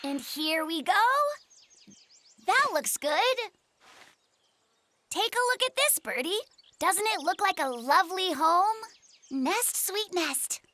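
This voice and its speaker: A woman voicing a sweet, earnest, nature-loving little bear cub in a children's cartoon, tender and gently insistent. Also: extremely high pitch, expressive, slow pace, pauses often, heavily acted. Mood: tender, proud. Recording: music bed behind the voice.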